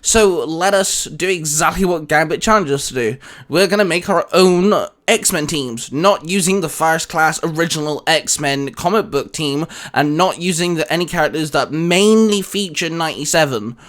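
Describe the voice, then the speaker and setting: Slightly high-voiced man hosting casually. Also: moderate pace, few pauses, a bit bright. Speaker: host of a fan discussion show. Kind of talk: casual show presenting and fan chat.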